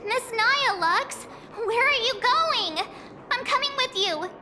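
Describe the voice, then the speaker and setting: A woman voicing an earnest, spirited young girl in an animated game style. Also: very high-pitched, slow, slight background noise, full character voice. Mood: worried, eager.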